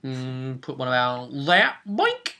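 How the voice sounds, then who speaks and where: Bright, theatrical man hosting. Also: medium pitch, very animated, slow pace, a little hiss or hum. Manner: playful, theatrical, hammy. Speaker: host and game master recapping a tabletop role-play story. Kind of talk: casual, jokey story recap for a video audience.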